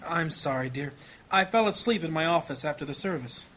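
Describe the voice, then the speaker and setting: Man playing a gentle, high-voiced fantasy priest. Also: animated, slight background noise. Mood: weary reassurance. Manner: earnest, measured, devout. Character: gentle, troubled priest, husband and father in fantasy. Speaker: voice actor in an audio drama. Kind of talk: fantasy audio drama acting. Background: music.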